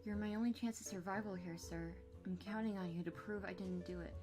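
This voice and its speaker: A woman plays a feisty accused woman in an audio drama. Her voice is mid-pitched, dark and soft-edged, with a sassy, stubborn manner. There is faint music behind her. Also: fairly even intonation, brisk, lightly acted. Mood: earnest pleading. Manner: sassy, combative.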